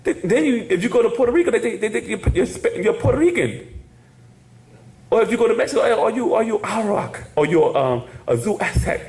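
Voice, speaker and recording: A man's voice: high-pitched, big pitch swings, quick pace, vocal fry, darkish tone. Echoey man lecturing a casual class. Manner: rambling, repeats "you hear me?", frequent laughs. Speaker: class teacher.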